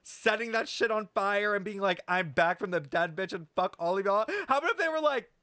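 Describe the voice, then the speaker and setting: High-voiced man, animated sweary streamer. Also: fairly quick, no echo, studio quality. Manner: animated, sweary, slangy, addressing chat. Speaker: streamer reacting to a video game. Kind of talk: casual livestream commentary and lore speculation.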